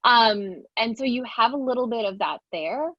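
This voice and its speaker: A woman's voice: fairly high pitch, expressive, normal speed, no echo. Woman, Telugu first language, panelist, frank, emphatic, dry, dull lo-fi call audio.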